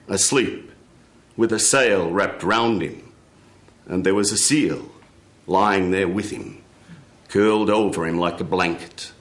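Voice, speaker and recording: A man performs a folk tale as a live storyteller. His delivery is very slow, theatrical and incantatory. The sound is roomy, with clear echo from the studio. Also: deep voice. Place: radio studio before a live audience.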